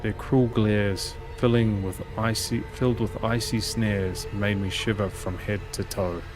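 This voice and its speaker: A man's voice: fairly low pitch, steady tone, a little slow. A man reading visual novel narration aloud as a let's play host, occasionally stumbling and repeating phrases. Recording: game music behind the voice. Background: horror game music.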